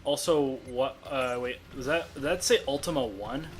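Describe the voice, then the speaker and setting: A man's voice: mid-range voice, normal speed. Rambling male game commentator. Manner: rambling, full of restarts and fillers. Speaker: let's play commentator. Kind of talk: casual gameplay commentary. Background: game music.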